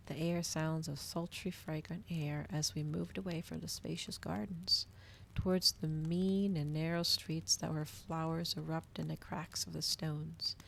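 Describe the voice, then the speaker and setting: A woman reading a novel aloud in a hushed ASMR style, slipping into whispers, close to the microphone. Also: low-pitched, creaky, slight background noise.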